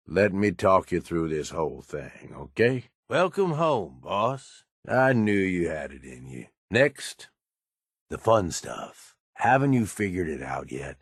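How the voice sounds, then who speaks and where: A man voicing a cynical, ruthless raider henchman in a video game, with a rough husky voice and a folksy, slangy, sardonic drawl. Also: low-pitched, dry recording, clean studio sound, full character voice. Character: gruff cynical ruthless male raider henchman in a post-apocalyptic wasteland.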